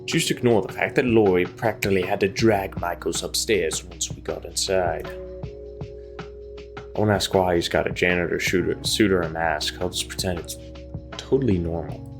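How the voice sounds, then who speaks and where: A man with a very low voice hosts a gaming video over faint background music. He reads story narration aloud and drops in casual commentary of his own. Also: moderate pace.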